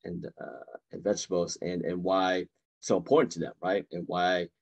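A man's voice: sits low, level tone, moderate pace, gravelly, a little mellow, husky. A man in his forties, born in Vietnam, answering audience questions on a video call from a room at home, hesitant with many fillers and restarts. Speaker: guest speaker. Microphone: video call webcam.

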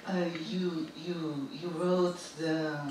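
A woman moderating a stage talk, hoarse with her voice nearly gone. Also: fairly low pitch, little pitch movement, very slow, far from the mic, noisy background. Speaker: host and moderator. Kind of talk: stage conversation. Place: large theater stage with live audience. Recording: echoey stage, picked up from afar.

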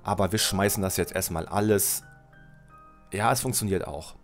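Middle-aged guy with a cold, chatty lets play commentary, thinking out loud, headset mic, soft music under it. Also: low voice, moderate pace. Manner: chatty, thinks aloud, self-correcting, addresses viewer. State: has a cold, voice lower than usual. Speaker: Let's Play host. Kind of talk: casual gameplay commentary. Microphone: headset mic at desk, webcam.

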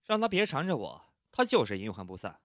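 Male actor for curt young love interest in audio drama: impatient, teasing, mid register, lively intonation. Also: medium pitch, brisk, no room sound, studio quality. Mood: irritated. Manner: blunt, impatient, dryly teasing. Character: curt, teasing young man, secretly fond of his roommate. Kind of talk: Chinese audio drama acting.

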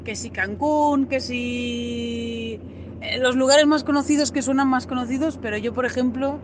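An upbeat woman with a Spain Spanish accent chats freely as a guest, her voice sitting very high. A steady hiss of background noise runs under her words. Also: measured pace. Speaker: guest traveler. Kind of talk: casual podcast interview.

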